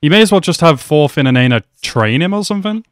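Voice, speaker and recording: A man's voice: on the high side, lively intonation, fast talker, smooth, no room sound. A young man casually reacting to an anime episode, chatty and swearing a lot, calling the characters bro. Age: young adult. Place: small room at home. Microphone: headset mic.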